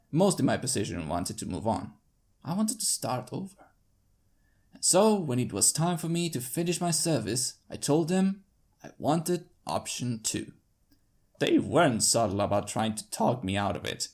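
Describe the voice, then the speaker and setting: A storyteller reading first-person fiction aloud. The voice is husky and creaky at a middle pitch, and it moves freely up and down as each phrase is placed with deliberate drama. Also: a little mellow. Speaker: fanfiction narrator. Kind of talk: scripted first-person audiobook narration in character.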